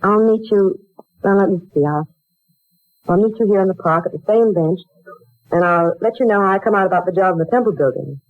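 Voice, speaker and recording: A woman's voice: medium pitch, a little fast, no echo, close to an everyday voice. An actress playing a proud, polite young woman looking for work, in a dramatic 1940s radio style. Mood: grateful. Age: young adult. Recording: muffled old 1940s radio recording.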